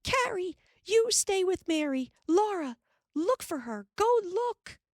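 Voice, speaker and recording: A woman voicing a frightened pioneer mother who calls out in a high voice. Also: wildly swooping pitch, unhurried, gravelly, no echo, clean studio sound, exaggerated voice. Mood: frantic. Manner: high, calling out.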